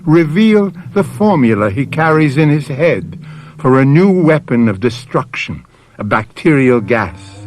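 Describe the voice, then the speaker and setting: A man hosting and narrating a radio mystery drama in a theatrical, deliberate way, addressing the listener directly. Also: medium pitch. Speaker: host and narrator. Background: music.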